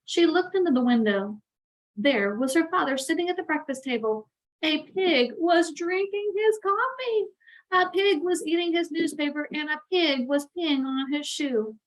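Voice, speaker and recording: A woman with a high voice hosts a read-aloud for children. She tells the story expressively and in an animated way, turning to address her young listeners directly. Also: moderate pace, poor audio. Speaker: read-aloud channel host.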